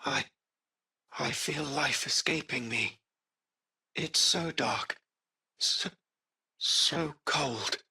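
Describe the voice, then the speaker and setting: An actor playing a polite artificial-intelligence car driver in a video game, with formal, courteous, hesitant phrasing and an anxious streak. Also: male voice, a little high, drawn-out words, vocal fry, long pauses, sharp tone, husky, heavily acted. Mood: frightened, overwhelmed. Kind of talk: acted video game dialogue.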